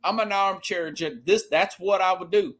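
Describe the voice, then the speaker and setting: A man with a slightly high voice delivers opinionated sports commentary as a podcast host. He talks conversationally and emphatically, often repeating a phrase to drive it home. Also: normal speed, a bit bright.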